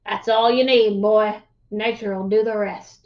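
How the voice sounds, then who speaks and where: Storybook witch, played by a woman: elderly, scary, curt, croaky delivery, dull lo-fi sound. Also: medium pitch, steady tone, unhurried, pitched below the performer's own voice, lightly acted. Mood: ominous. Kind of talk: storybook read-aloud character voice.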